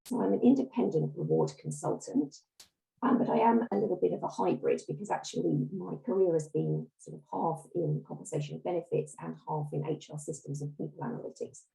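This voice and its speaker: A woman giving a webinar presentation as a reward consultant, hoarse and under the weather, often clearing her throat. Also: low-pitched, fairly quick, dark tone, low-quality recording. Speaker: guest speaker, reward consultant. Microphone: video call.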